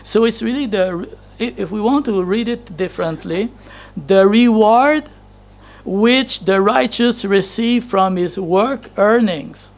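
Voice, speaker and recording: Gravelly-voiced man preaching at a pulpit. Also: high voice, slow. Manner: storytelling, chatty, laughs at himself. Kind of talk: anecdotal sermon. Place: church hall with projection screen. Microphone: handheld microphone.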